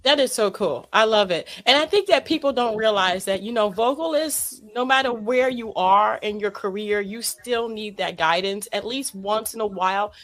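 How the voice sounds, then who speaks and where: A woman's voice: mid-range voice, normal speed, hardly any pauses, fairly bright tone, soft background music. A woman hosting an interview show, asking her guests questions with lots of enthusiasm. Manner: enthusiastic, gushing.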